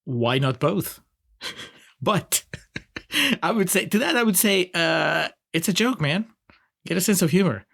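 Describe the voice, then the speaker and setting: A man's voice: sits high, very animated, a little slow, vocal fry, long pauses, a bit bright. Laughing man with a Peruvian accent. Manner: wry, laughs often, sarcastic asides. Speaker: podcast co-host. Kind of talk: casual film review chat.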